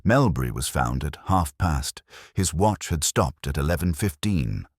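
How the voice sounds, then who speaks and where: An actor playing a weary, melancholy middle-aged detective, narrating a scripted mystery in a measured, literary, sombre way. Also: male voice, extremely low pitch, expressive, dry recording, studio quality, full character voice. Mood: grave, elegiac.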